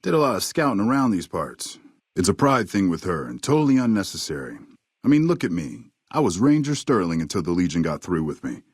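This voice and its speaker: A husky, drawling veteran sniper. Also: male voice, low voice, sing-song, darkish tone, dry recording, clean studio sound, full character voice. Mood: steady, understated. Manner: folksy, unhurried, understated. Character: weathered veteran army sniper, courteous, laconic old ex-scout. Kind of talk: video game character dialogue.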